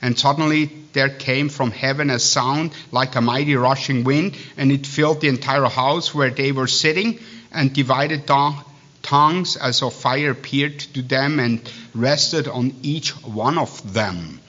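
Swiss-accented preacher with a bright voice. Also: male voice, mid-range voice. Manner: digressive, halting, with many restarts and fillers. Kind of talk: sermon with asides. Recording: dull lo-fi sound.

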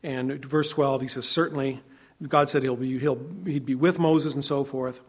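A man preaching a sermon, reading scripture aloud and explaining it conversationally, shifting between loud emphasis and quiet asides. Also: mid-range voice, steady tone, moderate pace. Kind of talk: sermon, Bible teaching.

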